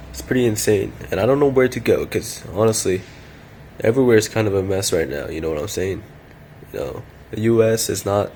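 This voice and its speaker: A man's voice: lowish voice, darkish tone, a little hiss or hum. A young man in his late teens, talking to camera in a loose, rambling rant full of slang and swearing, often repeating himself. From Chinese heritage. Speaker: vlogger.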